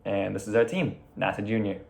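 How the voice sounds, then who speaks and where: A teenage boy reads his part of a student project presentation at a brisk clip. His low voice has a gravelly edge. He speaks into a laptop mic at home. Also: steady tone, a little mellow. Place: room at home.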